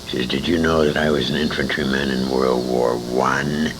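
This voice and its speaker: A man's voice: very deep voice, level tone, moderate pace, noisy background. An older American man telling anecdotes as an interview guest, in a salty, emphatic way, repeating phrases for effect. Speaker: interview guest, old-time radio veteran. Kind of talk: animated anecdotal storytelling.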